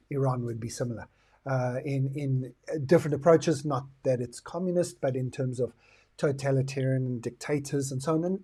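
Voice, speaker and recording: A man's voice: mid-range voice, mellow, slightly rough. A middle-aged South African man hosting a talk, explaining his views in a reflective way. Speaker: host. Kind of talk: talk to camera on current affairs and the future.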